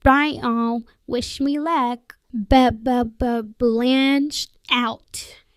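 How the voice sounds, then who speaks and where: A woman as a high-voiced, playful gamer girl. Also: fairly even intonation, extremely slow, clear, resonant tone, no echo, clean studio sound. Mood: playful, hurried goodbye. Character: playful young female tabletop gamer signing off.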